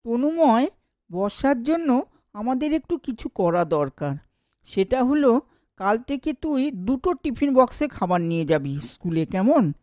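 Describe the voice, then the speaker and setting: A woman voicing a kind mother who guides her child in a gentle, instructive manner in a moral cartoon. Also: on the high side, sing-song, measured pace, no room sound, muffled recording. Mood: caring.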